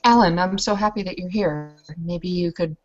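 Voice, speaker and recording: A woman talks through a roleplaying game in a casual, conversational way. Her voice sits a little low, and the recording is slightly dull. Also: normal speed. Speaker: player in a tabletop roleplaying game. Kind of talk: casual game chat, describing her character's actions.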